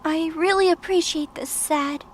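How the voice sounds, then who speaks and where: A woman playing a composed, gently defiant young-sounding mortal woman in an audio drama. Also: extremely high pitch, steady tone, measured pace, slight background noise. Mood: soft, knowing.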